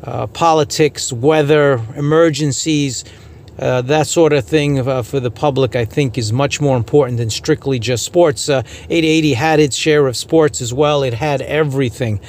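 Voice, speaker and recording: A man hosting an informal radio news podcast, giving opinionated commentary in a halting, repetitive way. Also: medium pitch, fairly even intonation, darkish tone. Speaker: radio hobbyist host giving a news report.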